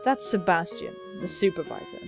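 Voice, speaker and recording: A woman voicing an eager, friendly young male vampire in a game, chatty, smooth and insistent. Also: on the low side, animated, normal speed, music in the background, voice pushed down. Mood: dismissive.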